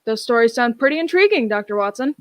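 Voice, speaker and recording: A woman's voice: a little high, lively intonation, fast talker, no echo, studio quality. A young woman giving a scripted announcement as host of an old-time style radio show.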